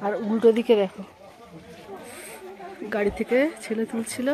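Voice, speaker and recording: A woman's voice: on the high side, level tone, moderate pace, some chatter behind. A middle-aged woman chatting casually as she films a travel vlog, pointing out the sights with delight, on a handheld phone outdoors and from a car. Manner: admiring, pointing out sights to the viewer. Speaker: travel vlogger. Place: outdoors on hill roads and in a moving car. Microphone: phone camera held by the speaker. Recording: loud outdoor and car noise.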